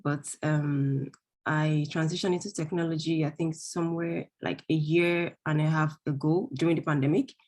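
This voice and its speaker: A woman with a low voice talks in a relaxed, chatty way and thinks aloud as she goes. She grew up in Greece. She speaks over a video call. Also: normal speed. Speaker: course participant. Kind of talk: casual self-introduction.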